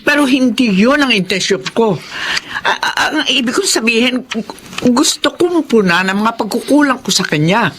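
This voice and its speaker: A woman's voice: fairly high pitch, lively intonation, normal speed, fairly bright tone, breathy edge. A woman with a Filipino accent playing a middle-aged, well-off, remorseful mother who pleads earnestly in a radio drama. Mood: defensive, remorseful. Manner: earnest, pleading, swooping emphasis.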